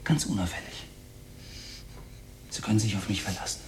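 Nervous furtive man played by an actor, swinging pitch. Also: expressive, moderate pace, reverberant, far from the mic, natural voice. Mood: furtive, hushed. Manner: hushed, confidential, hesitant. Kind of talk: radio drama acting. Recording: faint noise with clicks.

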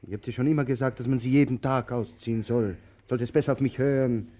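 An actor playing a ponderous, talkative male tramp in a radio drama, soft-voiced, ruminative and declamatory. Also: medium pitch, fairly even intonation, quick pace, natural voice. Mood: fussy, reproachful. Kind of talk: theatrical radio drama acting.

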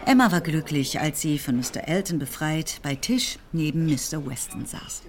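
A woman narrating a radio play in a measured, expressive way. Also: deep voice. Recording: music behind the narration.

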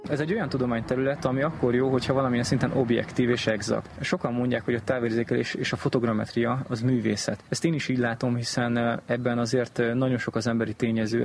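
Creaky-voiced man explaining. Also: mid-range voice, steady tone, slight background noise. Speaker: expert interviewee in aerial remote sensing. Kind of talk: explanatory interview talk.

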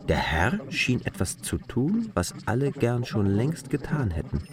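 A man giving an expressive reading of literary narration for a radio play in standard German, drawing out some phrases. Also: medium pitch, a little slow, music in the background.

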